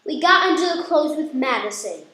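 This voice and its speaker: A woman's voice: high-pitched, animated, brisk, sharp tone. A woman playing a stubborn, upset young daughter in a radio play, insistent and pouting. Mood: hurt, accusing. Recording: echoey room.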